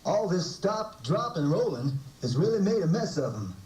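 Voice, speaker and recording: A man voicing a lively, cool puppet that half sings fire safety lessons to children in a showy, exhorting way. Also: high voice, moderate pace. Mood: playful. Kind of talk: upbeat musical children's puppet show performance. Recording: roomy, distant VHS tape, loud music. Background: loud song backing music.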